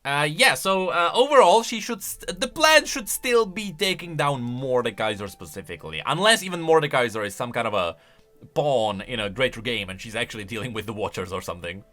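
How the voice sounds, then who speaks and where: A man giving casual, emphatic commentary as a gaming YouTuber, excitable in his delivery. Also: mid-range voice, brisk. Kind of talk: casual lore commentary, reacting to voice lines.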